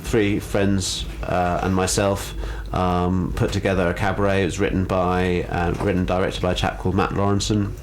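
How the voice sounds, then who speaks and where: A man's voice: deep voice, little pitch movement, normal speed, hardly any pauses, low-quality recording. A man speaking as a theatre company co-founder and radio guest, chatting casually and enthusiastically with hesitant, wandering phrasing. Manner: enthusiastic, hesitant phrasing with many hedged asides. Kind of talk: casual radio interview chat. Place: radio studio. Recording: clear background noise and ambience.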